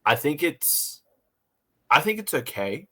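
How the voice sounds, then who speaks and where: A man's voice: fairly high pitch, very animated, slow, bright, forceful tone, slightly rough. A man co-hosting a casual review podcast, talking in a rambling way, repeating phrases and voicing his own thoughts.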